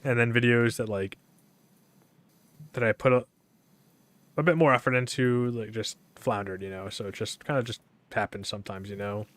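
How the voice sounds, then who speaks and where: A young man streaming a game, talking in a casual, rambling way with a monotone delivery, full of fillers and restarts. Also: medium pitch, moderate pace, pauses often, dry recording. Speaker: gaming streamer.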